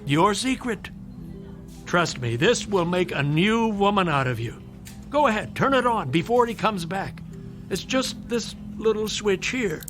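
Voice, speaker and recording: A hospital doctor in a radio play, with a notably high voice. He is brisk, dryly sardonic and persuasive, over faint music. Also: expressive. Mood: smooth, reassuring. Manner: dry, sardonic, insistent. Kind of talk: radio drama acting.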